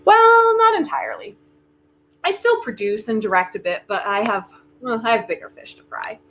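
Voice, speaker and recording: A woman's voice: a little high, huge pitch swings, moderate pace, fairly bright tone, heavily acted. A woman playing a genial, self-important, theater-loving tycoon in a comic play reading, talking in a wry, coaxing, theatrical manner. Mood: wistful, boastful. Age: older. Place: remote virtual reading. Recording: noisy online video call audio.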